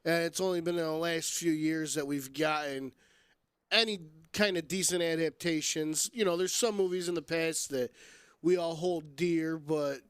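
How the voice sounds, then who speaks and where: Male podcast host, high voice, loud teasing banter, strong opinions, dry sound. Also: fairly even intonation, moderate pace, clear, resonant tone, no room sound. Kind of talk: casual movie debate chat.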